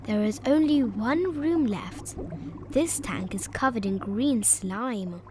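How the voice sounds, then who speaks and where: A woman's voice: fairly high pitch, expressive, slow, noisy background. A woman narrating a children's picture book as a read-aloud. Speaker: storybook narrator. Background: music behind the voice.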